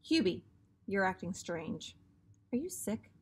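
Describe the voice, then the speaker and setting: A woman's voice: medium pitch, very animated, unhurried, husky, exaggerated voice. A woman voicing a caring, sensible mother in a children's storybook, with a motherly, reasoning manner. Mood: concerned.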